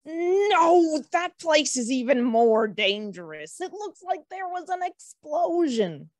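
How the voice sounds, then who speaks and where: A small, anxious talking bush from a fantasy cartoon, played by a woman. The voice is high and swings up and down a lot. It speaks slowly and hesitantly, pleading like a nervous child. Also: very animated, dry recording, exaggerated voice. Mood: frightened pleading. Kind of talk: animated fantasy table read acting.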